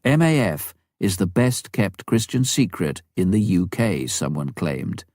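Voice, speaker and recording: Male audiobook reader for memoir narration: lowish pitch, animated melody, deliberate phrasing, dry recording. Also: no room sound.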